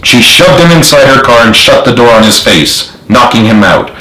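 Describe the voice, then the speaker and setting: A man's voice: medium pitch, lively intonation, normal speed, pushed and bright. Man narrating a story in echoey room. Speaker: author narrating own book.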